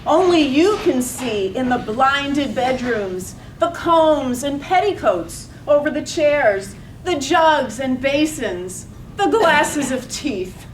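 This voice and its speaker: A woman narrating a poetic play from a script, deliberately lingering on single words. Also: high voice, expressive, a little hiss or hum, low-quality recording.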